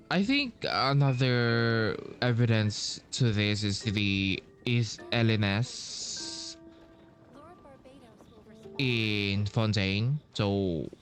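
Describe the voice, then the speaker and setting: Very slow, halting male gamer. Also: medium pitch, a little hiss or hum. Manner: halting, thinking aloud with long pauses. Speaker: gaming streamer playing through a story quest. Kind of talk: casual playthrough commentary. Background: game music.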